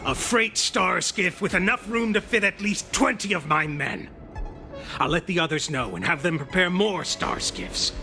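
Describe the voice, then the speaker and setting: An actor playing a scheming, hot-tempered gang leader in a video game, with a gravelly, commanding delivery. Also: male voice, high-pitched, expressive, moderate pace. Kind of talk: dramatic video game acting. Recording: music behind the voice.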